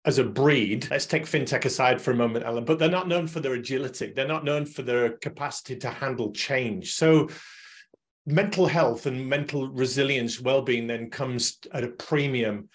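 A man's voice: mid-range voice, normal speed. Male moderator asking direct questions. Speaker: host, moderator. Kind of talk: moderating a panel.

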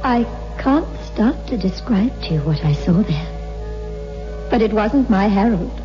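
A woman's voice: medium pitch, animated, slow pace. A woman playing an anguished, haunted widow in a theatrical, intense style of 1960s radio horror acting. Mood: haunted, drained. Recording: muffled old radio recording with music.

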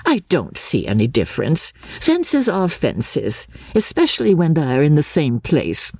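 No-nonsense middle-aged English mother for a novel, read by a female narrator: blunt, placid, mellow, animated. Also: deep voice, unhurried, husky, no room sound, studio quality, heavily acted. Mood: brisk, blunt. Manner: matter-of-fact, no-nonsense.

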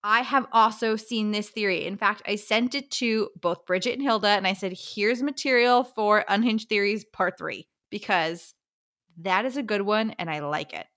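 A woman's voice: medium pitch, moderate pace, a bit bright. A woman co-hosting a book podcast, chatting casually and reading out answers in an enthusiastic, playful way.

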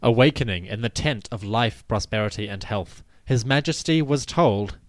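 A man giving a scripted, dramatic narration of ancient history in a measured, solemn storytelling manner. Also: on the low side, no echo. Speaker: podcast host and narrator.

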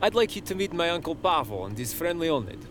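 A man's voice: a little high, sing-song, brisk, noisy background. A man playing a friendly, eager young store worker in an audio drama.

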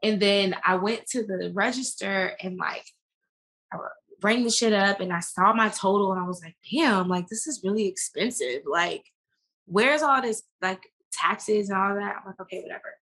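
A woman guest talking casually and informally in an interview, slangy and animated as she tells stories and quotes herself. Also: medium pitch, normal speed. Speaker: podcast guest, actress and business owner.

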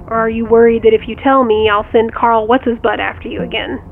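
Actress as wry sister on a tinny phone. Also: female voice, fairly high pitch, flat delivery, a little fast, vocal fry, soft background music. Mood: matter-of-fact, probing. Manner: wry, direct, probing. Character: sharp, teasing sister of the main character. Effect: phone call filter. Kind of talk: audio drama acting, conversational. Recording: tinny phone line, faint background noise.

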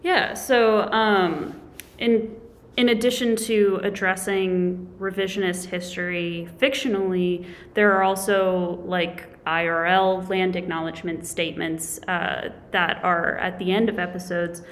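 A woman podcast co-host, reading a prepared introduction clearly and asking interview questions. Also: fairly low pitch, flat delivery, a little slow.

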